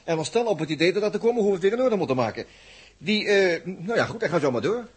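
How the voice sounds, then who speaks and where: An excitable, informal male crewman in a 1960s radio space serial. His voice is very husky and slightly high, and he talks in loose, hesitant phrases. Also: fast talker, exaggerated voice. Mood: puzzled. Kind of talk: 1960s radio drama acting.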